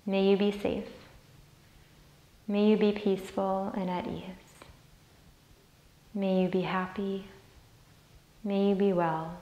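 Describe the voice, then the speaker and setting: A woman leading a guided meditation as a teacher, soothing and deliberate as she repeats phrases. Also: medium pitch, little pitch movement, dull audio. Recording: roomy, echoey sound.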